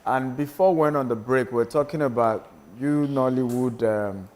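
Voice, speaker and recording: A man's voice: mid-range voice, normal speed, darkish tone. A man hosting a TV talk show, teasing his guests and laughing often as he asks questions. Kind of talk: studio panel discussion. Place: TV studio with a live audience. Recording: roomy studio with audience noise.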